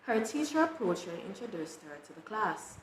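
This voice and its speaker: A woman reading children's books aloud in an expressive storytelling style. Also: mid-range voice, fairly quick. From Jamaica. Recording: roomy echo with clear background noise.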